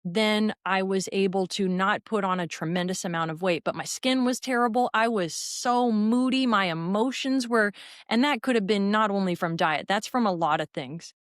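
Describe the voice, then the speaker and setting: A woman hosting a fitness podcast, talking in an energetic, rambling, emphatic way. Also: mid-range voice, moderate pace, no room sound, studio quality. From raised in a military family. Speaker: podcast host and fitness trainer. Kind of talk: conversational interview.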